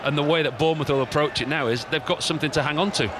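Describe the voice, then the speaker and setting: Fast-talking football pundit. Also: male voice, a little high, crowd noise, background noise. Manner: conversational, explanatory, repeats phrases. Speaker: co-commentator, match analyst. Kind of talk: live football analysis.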